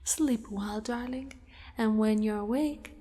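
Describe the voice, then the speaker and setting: Slow, whispery spider monster woman played by an actress. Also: fairly high pitch. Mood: possessive, sweet. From Italy. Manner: soft, breathy, often whispered, slow and coaxing. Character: possessive, doting female spider monster who kidnaps a mate. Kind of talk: ASMR monster roleplay. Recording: long cavernous reverb.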